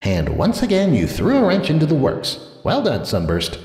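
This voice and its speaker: A man's voice: on the high side, wildly swooping pitch, moderate pace, darkish tone, pitched above the performer's own voice. A man voicing a scornful, accusing inner voice with a long echo in a dramatic story reading. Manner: mocking, accusatory. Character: scornful inner voice berating a wizard. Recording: reverberant, echoing effect.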